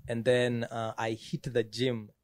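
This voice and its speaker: A man with a Ugandan accent, a recording artist talking in a relaxed way in a TV studio interview, laughing easily. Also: medium pitch, takes it slowly, no echo. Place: indoor TV studio set. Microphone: handheld mic.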